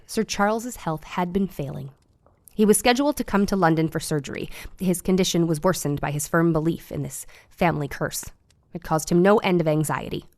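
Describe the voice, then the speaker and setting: An actress playing an earnest, eccentric Victorian woman doctor in an audio drama, telling things in a formal, deliberate way. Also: lowish voice, darkish tone, no room sound, lightly acted. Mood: solemn, confiding.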